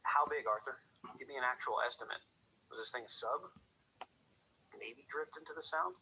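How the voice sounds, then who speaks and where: An actor playing a steady, commanding police officer on night radio duty, speaking in clipped procedure in a radio drama. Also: male voice, medium pitch, moderate pace. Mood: businesslike. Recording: hissy police radio or telephone line.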